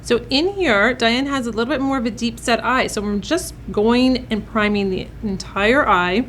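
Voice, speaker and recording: A woman with a fairly high voice presents a beauty tutorial as a makeup artist. She addresses her viewers in an upbeat, encouraging manner. There is noticeable background noise in the recording. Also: normal speed, a bit bright. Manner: upbeat, encouraging pep-talk delivery.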